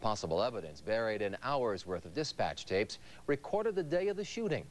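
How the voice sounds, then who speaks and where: A man's voice: on the low side, very animated, a little fast, poor audio. A man with an American accent introducing a report as a television news anchor.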